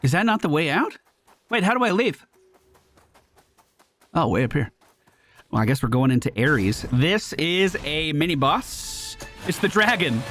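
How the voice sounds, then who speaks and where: A man giving casual live gameplay commentary as a streamer, slangy and excitable, with some swearing. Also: high voice. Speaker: gaming streamer. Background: faint game music.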